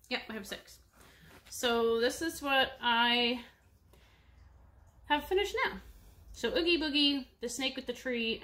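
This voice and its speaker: A woman's voice: a little high, sharp tone, a little hiss or hum. A woman in her thirties with a congested, stuffy nose, chatting casually to viewers from a home room, rambling with many fillers. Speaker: cross-stitch vlogger. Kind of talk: casual show-and-tell chat. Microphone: webcam at desk distance.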